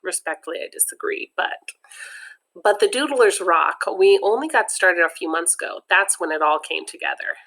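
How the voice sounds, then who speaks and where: A woman's voice: on the low side, lively intonation, normal speed, creaky, fairly bright tone. A woman reading a children's comic book aloud, breaking off now and then to add her own chatty comments. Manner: chatty, adds personal comments between passages. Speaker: read-aloud narrator.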